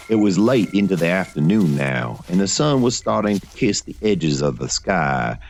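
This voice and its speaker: A man reading scripted gothic horror fiction as a narrator, in a measured, literary storytelling manner. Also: low-pitched, gravelly, darkish tone.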